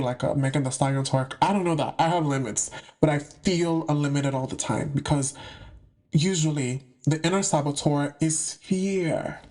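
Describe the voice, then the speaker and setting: A man's voice: medium pitch, darkish tone. A young man from the UK giving a casual, slangy monologue to camera about spiritual topics, with playful asides. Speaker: spiritual content creator.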